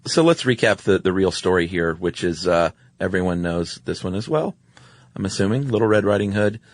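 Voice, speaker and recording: A man's voice: sits low, animated, brisk, no room sound. A man co-hosting a podcast, recounting stories in a casual, wry conversational style. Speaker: podcast co-host.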